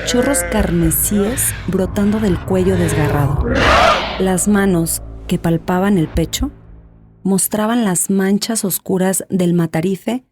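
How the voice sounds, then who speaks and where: A woman's voice: medium pitch, slow. A woman reading dramatic scripted audiobook narration. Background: background music.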